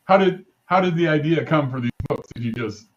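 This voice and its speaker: A man hosting an interview show, chatty with quick asides. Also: a little high, lively intonation, slight background noise. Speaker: host. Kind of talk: casual interview chat. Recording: dull lo-fi call audio.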